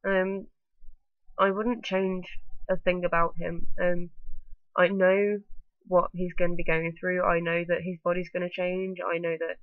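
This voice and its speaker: A woman's voice: fairly low pitch, level tone, a little slow, no room sound, phone-quality sound. A woman vlogging to camera with a blocked-up nose from a bad cold, rambling along with lots of ums and likes. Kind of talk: casual talking-to-camera vlog.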